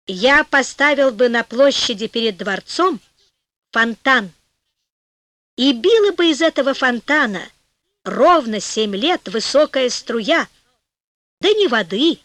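A woman voicing a hopeful fairy-tale king who speaks in a measured, solemn way. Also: fairly high pitch, lively intonation, a bit bright, dry recording. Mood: solemn, wishful.

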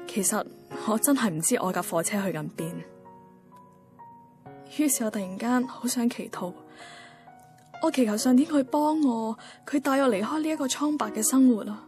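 Actress as wistful young woman, Hong Kong Cantonese accent, introspective radio drama monologue, higher voice, clear music behind. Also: darkish tone, close to an everyday voice. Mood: reflective. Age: young adult. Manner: introspective, musing. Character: wistful young working woman recounting her romance.

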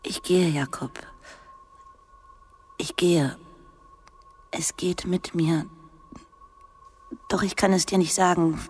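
Sad gentle lady teacher in a radio play, really low breathy hushed voice, slow and secretive. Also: steady tone. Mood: wistful, pleading. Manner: slow, halting, intimate confiding. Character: wistful, secretive gentle young lady teacher, quietly pleading. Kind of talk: literary radio drama acting.

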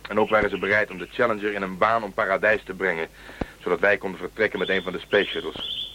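A man's voice: low voice, level tone, fast, bright, forceful tone, poor audio. An actor playing a triumphant space explorer in a radio play, telling his tale in a wry, declarative way. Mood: amused, triumphant. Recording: radio drama with faint noise.

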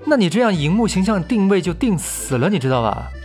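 A man's voice: high-pitched, sing-song, fairly quick, a little mellow, soft background music. An actor playing an exasperated but protective male talent manager, nagging and coaxing in an audio drama. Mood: concerned, exasperated. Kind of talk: audio drama acting with background music.

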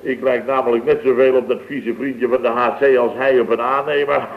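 An actor playing a boastful, easily flustered building contractor boss in a radio comedy, rambling and full of verbal tags. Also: male voice, medium pitch, level tone, brisk, close to an everyday voice. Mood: boastful, amused. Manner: rambling, peppered with "hè" and "zeg". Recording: tinny, band-limited vintage radio recording.

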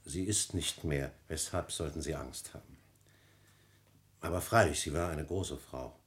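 An actor playing a dignified family physician in a classic radio play, formal, measured and declamatory. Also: male voice, very deep voice. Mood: courtly. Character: courtly, dignified family physician, loyal and dryly pointed. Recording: noisy old radio drama recording.

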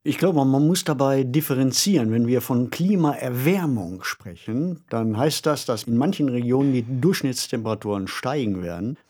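A man talking as an expert on a podcast, explaining in a measured, didactic way and stressing key words. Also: medium pitch, lively intonation, warm tone, breathy edge. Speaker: expert discussion partner on a podcast. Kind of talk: conversational explanatory talk.

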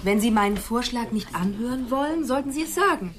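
A woman's voice: on the high side, expressive, brisk, close to an everyday voice. An actress playing an earnest, prickly policewoman in a radio drama, formal, careful and defensive. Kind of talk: German radio drama acting.

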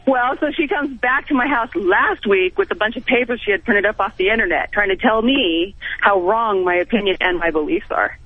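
High, husky man on phone. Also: brisk, few pauses, faint music behind. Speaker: radio show caller. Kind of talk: casual anecdote, venting. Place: phone call into radio show. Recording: tinny, narrow telephone line.

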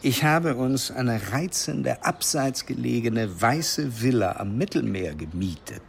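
An actor playing a charming, playful middle-aged father in a radio play, with a gravelly voice. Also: mid-range voice, expressive, measured pace, a little hiss or hum. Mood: suave, enticing. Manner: suave, playful, teasing.

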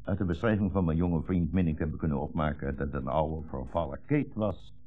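A low, deep old man's voice comes through a thin vintage radio recording with faint music. It is a blind rabbi, dignified and measured. He is polite yet gently defiant, with quiet irony, in 1960s radio-play style. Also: narrow, tinny audio. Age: older. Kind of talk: 1960s radio drama acting.

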